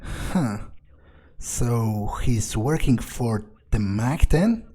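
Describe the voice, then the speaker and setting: A man's voice: medium pitch, expressive, extremely slow, mellow. A man roleplaying his game character aloud, musing to himself with cold, menacing understatement. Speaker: gameplay video player.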